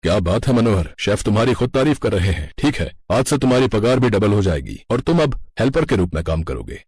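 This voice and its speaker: Man acting a blunt, quick-talking hotel boss. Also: fairly low pitch, sing-song, darkish tone, slightly rough, dry recording, studio quality. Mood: pleased. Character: blunt, fair-minded male hotel boss. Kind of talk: scripted cartoon story dialogue.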